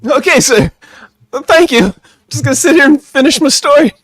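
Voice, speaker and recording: Woman playing a very high-pitched flirty starship officer. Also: moderate pace, dry recording, lightly acted. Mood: uncertain. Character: female starship crew officer, brisk and flirtatious. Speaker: podcaster acting in script reenactment. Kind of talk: amateur comedic radio play reading.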